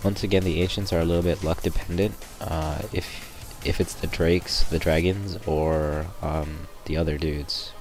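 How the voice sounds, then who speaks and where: A young man with a raspy voice, sick, gives a rambling gameplay walkthrough in a very low register. Loud music plays behind his voice-over. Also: fairly even intonation, measured pace, few pauses. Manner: rambling, many ums and restarts. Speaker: gameplay commentator. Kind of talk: casual gameplay tutorial voice-over.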